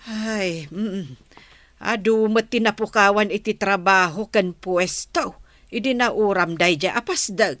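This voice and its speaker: A woman's voice: mid-range voice, unhurried, a bit bright, no room sound, lightly acted. A Filipino woman playing a chatty, inquisitive older village mother in a radio drama, full of interjections. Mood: curious, sympathetic.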